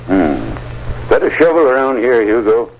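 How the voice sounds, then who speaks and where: An actor playing a brisk, methodical small-town constable in an old-time radio drama, with an American accent. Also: male voice, mid-range voice, huge pitch swings, gravelly. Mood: inquisitive, businesslike. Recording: dull, noisy old radio recording.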